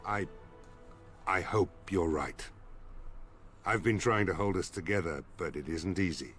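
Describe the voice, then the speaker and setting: An actor playing a weary, worried village mayor in a fantasy game, with game music behind him. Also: male voice, low-pitched, unhurried, a bit bright. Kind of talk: video game voice acting.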